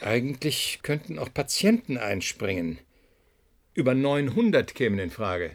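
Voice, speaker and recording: Cynical, animated, sarcastic man. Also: medium pitch, unhurried. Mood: sardonic, brisk. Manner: sardonic, blunt. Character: cynical, sarcastic male colleague with gallows humour. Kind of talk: German radio play acting.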